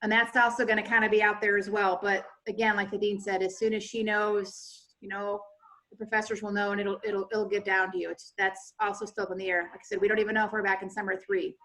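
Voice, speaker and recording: A woman's voice: mid-range voice, level tone, quick pace, a bit bright, low-quality recording. A woman on college staff explaining things informally and conversationally on a video call. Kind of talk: casual meeting explanation.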